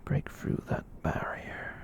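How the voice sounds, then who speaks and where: A performer voicing a gentle, kind giant catboy in a soft breathy whisper, rambling reassuringly in an ASMR roleplay. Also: lightly acted. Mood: soothing, confiding. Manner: rambling, reassuring, slow with long pauses.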